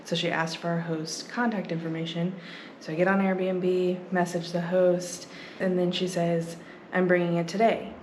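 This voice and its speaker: A woman's voice: fairly low pitch, flat delivery, moderate pace. A woman tells a story informally to her vlog camera. Her voice rings in an echoey room, with a little ambient noise underneath. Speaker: travel vlogger, mother.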